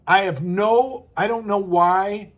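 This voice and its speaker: A man's voice: high voice, fairly even intonation, takes it slowly. A man talking casually to camera as a screenwriting coach, conversational, acting out little quoted asides as he explains. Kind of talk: casual advice talk to camera.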